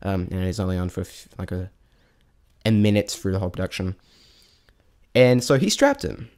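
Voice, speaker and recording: A man giving a casual, unscripted review in a halting, rambling way, often correcting himself mid-thought. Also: low-pitched, animated, darkish tone. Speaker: vlogger reviewing a stage show.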